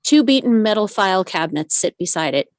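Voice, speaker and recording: A woman with a medium-pitched voice narrates stage directions from a screenplay. The sound is very dry, and the style is that of a live scripted read. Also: animated, brisk, dry recording.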